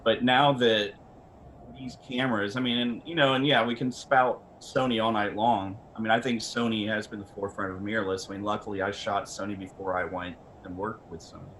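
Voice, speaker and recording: Low-voiced man rambling conversationally. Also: steady tone, brisk, a bit bright. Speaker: camera company representative, guest expert. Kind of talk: casual panel talk. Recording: faint background noise.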